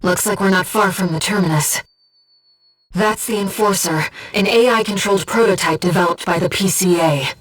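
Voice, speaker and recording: A woman voicing a gentle, composed, earnest disembodied female guide in a video game, speaking in a measured, softly formal and precise way. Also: medium pitch, distant mic, close to an everyday voice, rough recording. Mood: composed, focused. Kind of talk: scripted video game voice acting, mission guidance. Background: music.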